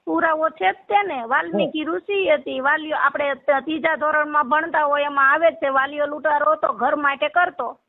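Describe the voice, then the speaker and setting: A woman's voice: fairly even intonation, moderate pace, few pauses, dry recording. A woman makes her case earnestly and pleadingly as a caller in a phone argument. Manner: earnest, pleading, addresses listener as brother. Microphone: phone call. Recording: muffled low-fidelity phone call recording.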